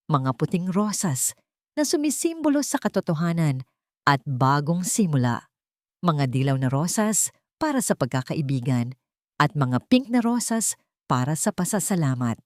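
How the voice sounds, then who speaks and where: Low-pitched woman storyteller, animated intonation. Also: no room sound, studio quality. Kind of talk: scripted romantic story narration.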